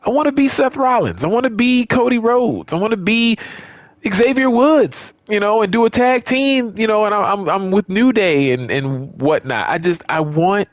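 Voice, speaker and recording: A man's voice: high-pitched, expressive, normal speed, dry recording. A man giving casual, unscripted gaming commentary as a video creator, talking in a rambling, conversational, opinionated way.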